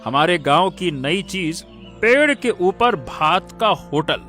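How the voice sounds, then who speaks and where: Guy doing hardworking village man for a cartoon, high voice, slow n earnest, determined. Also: animated, pushed and bright, soft background music, heavily acted. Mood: busy. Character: determined, hardworking male villager building a treetop eatery. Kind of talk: Hindi cartoon story dialogue.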